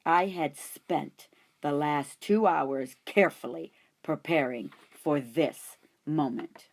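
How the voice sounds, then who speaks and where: A woman reading a children's chapter book aloud in an expressive storytelling manner. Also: deep voice, slow, creaky. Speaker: audiobook reader. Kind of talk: children's audiobook narration.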